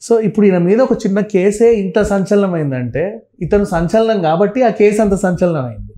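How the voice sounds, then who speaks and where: A man's voice: high voice, expressive, normal speed, dark tone. A man giving opinionated, conversational commentary as a guest, emphatic and repeating phrases, mixing in English words. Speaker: film and political commentator, interview guest.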